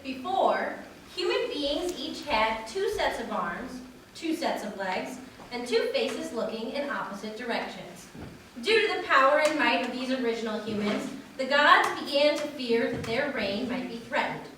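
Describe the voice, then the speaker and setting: A woman's voice: high voice, animated, normal speed, fairly bright tone. A woman giving a theatrical recitation of a formal speech as a reader at a wedding. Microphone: distant from speaker. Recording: echoey room, distant mic, faint noise.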